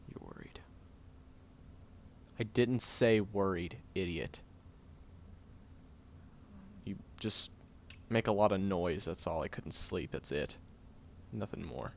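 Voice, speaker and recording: A man voicing a gruff, tired young tsundere man who secretly cares, halting and grumpy, in an intimate audio roleplay. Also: sits low, full character voice. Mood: flustered, then exasperated, reluctant. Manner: halting, sighing, grumpy and flustered. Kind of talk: intimate audio roleplay, acted monologue to the listener. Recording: dull lo-fi, clear background noise.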